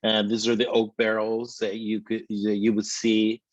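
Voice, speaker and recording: A man's voice: fairly low pitch, completely flat delivery, normal speed, dry recording, poor audio. A middle-aged man from Spain with a Spanish accent, presenting a virtual slideshow tour as a company chief, with many fillers and restarts.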